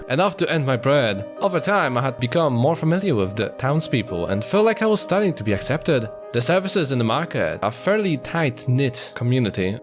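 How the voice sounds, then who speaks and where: Man reading as dramatic confident cougar storyteller, lively pitch. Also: lightly acted. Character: confident adult male anthropomorphic cougar narrating his life. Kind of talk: dramatic visual novel read-aloud. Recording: faint guitar music behind.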